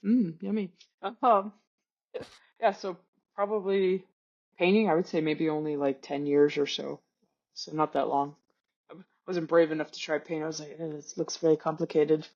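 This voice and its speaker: A woman's voice: deep voice, little pitch movement, moderate pace, darkish tone. A woman with a German accent chatting casually as a podcast guest, blunt, irreverent and sweary, on a dull-sounding recording. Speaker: podcast guest, self-taught artist. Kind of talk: casual chat. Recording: dull, band-limited voice track.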